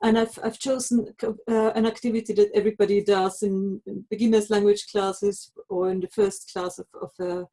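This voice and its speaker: A woman leads a live webinar for teachers, explaining things step by step and giving clear practical instructions. Her voice is mellow and warm. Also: medium pitch, steady tone, normal speed, hardly any pauses. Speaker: webinar presenter for language teachers. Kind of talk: live online lesson.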